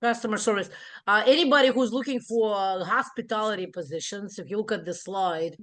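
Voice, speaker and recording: A woman hosting an online networking event over a video call, upbeat and halting, with many fillers. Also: mid-range voice.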